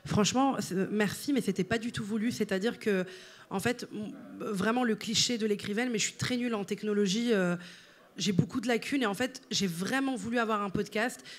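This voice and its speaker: A woman's voice: mid-range voice, fairly quick. A woman who grew up in Italy, Italian as her first language, talking at length as an interview guest with frequent fillers. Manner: fluent and expansive, many fillers. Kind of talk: interview conversation.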